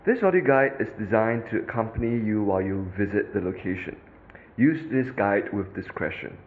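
Lively Singaporean man guiding a tour. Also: on the low side, narrow, tinny audio. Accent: Singaporean English. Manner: instructive, lively, with occasional fillers. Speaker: podcast host and audio tour guide. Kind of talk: scripted audio tour narration.

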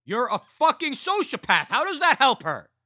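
A man voicing an angry soldier brother who shouts strained accusations. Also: very high voice, wildly swooping pitch, normal speed, voice pushed up, heavily acted. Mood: shouting.

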